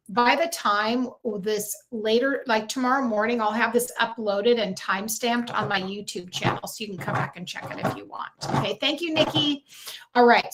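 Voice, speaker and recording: A woman's voice: a little high, fairly bright tone, rough recording. Chatty woman doing a tarot livestream. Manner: chatty, reads out viewer comments, many fillers and restarts. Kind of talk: casual livestream chat.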